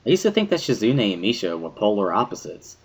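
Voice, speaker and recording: A man reading first-person visual novel narration in a measured, reflective way, as an amateur dramatic reading. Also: fairly low pitch, wildly swooping pitch, husky. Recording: music behind the voice.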